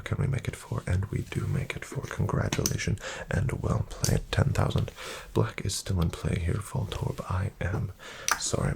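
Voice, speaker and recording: A man playing a courteous, composed casino dealer in a soft-spoken ASMR roleplay, with formal phrasing and a breathy near-whisper. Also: on the low side, a little hiss or hum. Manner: formal, polite, measured phrasing.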